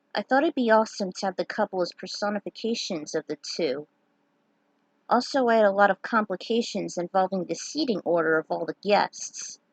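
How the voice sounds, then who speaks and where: A woman's voice: medium pitch, no room sound, poor audio. A woman talking casually about her fan fiction story and sharing her notes as a writer. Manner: chatty, sign-off upbeat.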